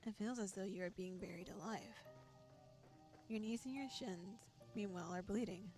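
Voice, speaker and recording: A woman's voice: medium pitch, darkish tone, natural voice. Woman as an ominous horror-game narrator over music. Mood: dramatic, foreboding. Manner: deliberate, foreboding. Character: ominous, theatrical narrator of a horror visual novel. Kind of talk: dramatic second-person storytelling.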